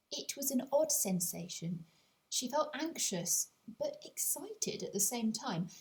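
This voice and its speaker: A woman reading a scripted storybook as an audiobook narrator, expressively, with dramatic pauses and emphasis. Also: fairly high pitch, a little mellow. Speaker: author reading own audiobook.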